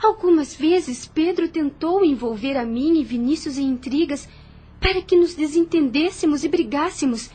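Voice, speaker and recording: An actress with a Brazilian accent playing an earnest, anxious young mistress of a plantation house in an audio drama. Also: female voice, high-pitched, normal speed, mellow, close to an everyday voice. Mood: troubled, reflective. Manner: earnest, hesitant when uneasy.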